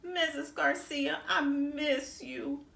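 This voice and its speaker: Storybook schoolboy played by a woman: whiny, earnest, resistant to change, very high and animated, slightly echoey recording. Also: slow pace, gravelly, heavily acted. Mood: sad pleading. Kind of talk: children's storybook read-aloud. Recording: roomy echo, faint background noise.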